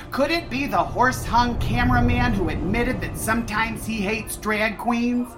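A woman playing a disgruntled, foul-mouthed waitress who narrates a comedy radio play with brash, theatrical asides. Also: a little high.

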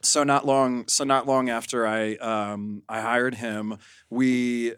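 A man's voice: medium pitch, fairly even intonation, slow, a bit bright, clean tone, no room sound, studio quality. A man speaking casually as a podcast guest, telling stories in a candid, rambling way. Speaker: podcast guest, adult content creator. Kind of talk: casual interview chat.

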